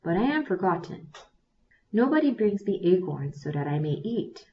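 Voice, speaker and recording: A woman's voice: on the low side, big pitch swings, moderate pace. A woman voicing an old, hungry female bear in a storybook tale, stern and pleading. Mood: forlorn. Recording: distant, dull lo-fi recording.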